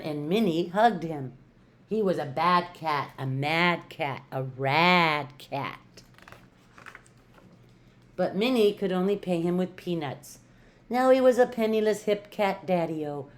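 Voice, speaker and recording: An older woman, a retired teacher, reads a picture book aloud to children with playful, rhythmic emphasis and drawn-out words. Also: on the low side.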